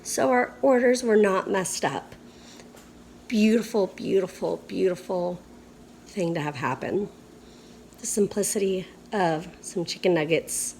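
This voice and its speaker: A young woman vlogging casually, hoarse and coughing, weary and frustrated. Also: fairly low pitch, a little mellow, slight background noise. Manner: tired, frustrated, drawn-out speech. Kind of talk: casual vlog chat.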